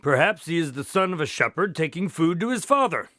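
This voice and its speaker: Polite investigator, lightly high-pitched. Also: male voice, sing-song, pushed and bright, no room sound, clean studio sound. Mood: politely evasive. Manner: courteous, measured questioning. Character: polite, inquisitive male police consultant, investigator. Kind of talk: radio drama acting and narration.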